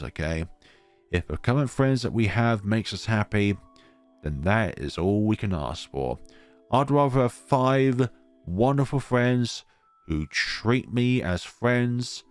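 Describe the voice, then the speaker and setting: A man's voice: on the low side, a little mellow, smooth. A man reading a visual novel aloud as a let's-play narrator, expressively, with asides of commentary.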